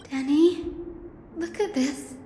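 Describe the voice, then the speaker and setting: An actress playing a bright, knowledgeable young woman in a fantasy game, explaining things earnestly and articulately. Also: sits high, slight background noise. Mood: uneasy, grave. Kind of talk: scripted fantasy game voice acting. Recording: echoey long reverb, game music behind. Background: game music.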